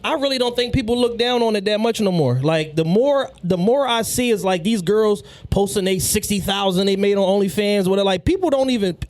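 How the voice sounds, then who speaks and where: High-pitched man telling slangy stories. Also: expressive, brisk, hardly any pauses, a little mellow. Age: 30s. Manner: slangy, profane storyteller. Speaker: podcast host. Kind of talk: casual chat.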